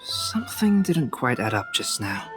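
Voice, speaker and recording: A man playing a calm, courteous, analytical young scholar in an English video game, speaking in a measured, thoughtful way. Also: medium pitch, over music. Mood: thoughtful, deductive.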